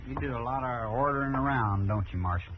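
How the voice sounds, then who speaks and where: An actor playing a sullen, defiant young ranch hand with a rural American accent in an old-time radio Western, curt and surly. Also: male voice, mid-range voice, a little fast, natural voice. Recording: tinny, noisy old radio recording.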